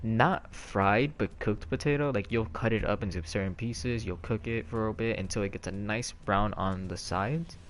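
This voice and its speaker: A man's voice: fairly low pitch, moderate pace, few pauses, a little hiss or hum. A man streaming from home on a headset mic, rambling in casual chat with many fillers and restarts.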